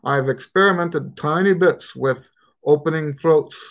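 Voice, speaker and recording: A man's voice: a little high, measured pace. A middle-aged man, a trumpet teacher, answering questions in a casual live stream from his living room on a webcam, rambling and restarting his sentences. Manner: rambling, frequent restarts and stammered repeats. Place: living room at home, indoors. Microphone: webcam at desk distance.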